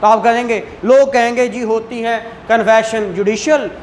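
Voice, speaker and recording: A woman's voice: on the high side, steady tone, normal speed, darkish tone. A woman with a Pakistani accent giving an explanatory law lecture, often checking that students follow with short tag questions. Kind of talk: explanatory classroom lecture mixing Urdu and English legal terms. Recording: clearly noisy room recording.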